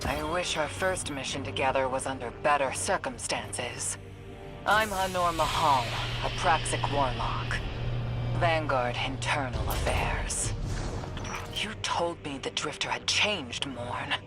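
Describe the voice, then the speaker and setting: An actress playing an earnest, duty-bound warlock officer with a measured, serious manner in a video game. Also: female voice, rumbling low. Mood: earnest, stern. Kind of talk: video game dialogue acting. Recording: loud music underneath.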